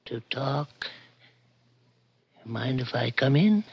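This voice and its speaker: A man playing a weary, gentle, very old prison guard, soft-spoken and wistful, in 1960s radio drama style. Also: medium pitch, expressive, slow, no room sound, full character voice. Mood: quiet, coaxing. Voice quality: creaky, husky old voice. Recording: dull old radio recording.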